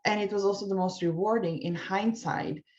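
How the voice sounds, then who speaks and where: A woman's voice: mid-range voice, fairly even intonation, fairly quick, darkish tone. Chatty Slovenian-accented woman, lo-fi. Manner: chatty, laughs easily, goes off on tangents. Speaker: guest, life coach. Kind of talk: casual interview chat.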